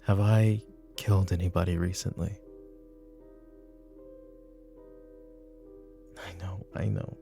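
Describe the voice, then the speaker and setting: A man playing a weary, brooding middle-aged mafia boss and father in a roleplay audio drama, speaking in a halting, intimate way. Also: steady tone, music in the background. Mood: guarded, probing. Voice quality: gravelly, low. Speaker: voice actor.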